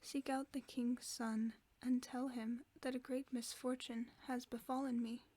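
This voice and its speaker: A woman voicing a gentle, earnest young maiden from a bedtime story, in a soft whisper. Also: a little high, flat delivery, measured pace. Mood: pleading.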